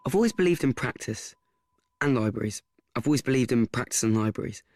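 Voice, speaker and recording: A man's voice: mid-range voice, lively intonation, quick pace, a little mellow, slight background noise, natural voice. A young man playing an awkward, earnest, nervous teenage schoolboy in a radio play, hesitant and rambling. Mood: earnest, confiding. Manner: hesitant, rambling, self-conscious. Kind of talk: radio play acting with confiding narration.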